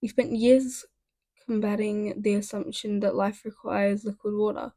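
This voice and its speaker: A woman voicing an authoritative, brisk businesswoman in her forties in a storybook reading, matter-of-fact in manner. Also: mid-range voice, little pitch movement, mellow, no room sound. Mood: probing. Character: authoritative mid-forties European businesswoman, brisk task force official.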